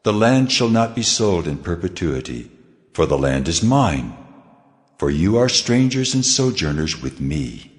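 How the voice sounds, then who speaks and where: A man's voice: low voice, very animated, a little slow. A man playing God as a solemn, commanding lawgiver in a dramatized scripture reading. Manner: solemn, authoritative, declarative. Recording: long cavernous reverb.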